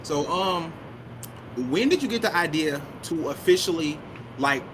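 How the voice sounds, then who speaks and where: A young man runs a laid-back podcast interview, joking and slangy and quick to say "mm-hmm." Clear hiss sits behind his voice throughout. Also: a little high, expressive. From Detroit. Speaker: podcast host. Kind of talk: casual interview chat.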